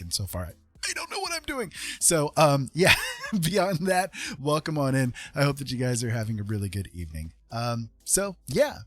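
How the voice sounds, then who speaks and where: A man with a husky voice hosting a casual livestream, rambling along in folksy, welcoming patter as he chats. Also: mid-range voice. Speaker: livestream host and artist. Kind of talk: casual chat while streaming digital art.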